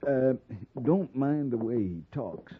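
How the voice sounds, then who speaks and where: A man's voice: mid-range voice, lively intonation, slow pace, slight background noise. An actor playing an easygoing, deferential man of the in a radio drama, folksy and apologetic. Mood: affable, cautioning. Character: affable, apologetic Western man making introductions. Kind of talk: 1950s Western radio drama acting. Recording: tinny, narrow 1950s radio recording.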